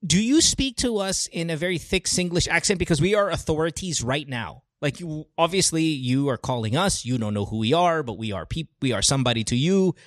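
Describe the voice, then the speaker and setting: A man hosting a call-in talk show, animated and laughing often, mixing in Tagalog. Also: a little high, fairly quick, hardly any pauses, no room sound, clean studio sound. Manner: animated, laughs a lot, mixes Tagalog into English. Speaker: host.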